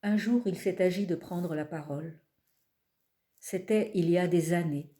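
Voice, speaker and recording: Slow, mellow woman reading poetry. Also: fairly low pitch. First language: not French. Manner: calm, measured, pausing between phrases. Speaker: poet reading her own work.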